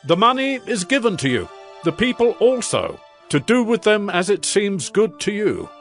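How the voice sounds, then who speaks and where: Indulgent ancient monarch, notably high-pitched and animated, for scored audio Bible drama. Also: male voice, normal speed, soft background music. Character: compliant ancient Persian king granting favors.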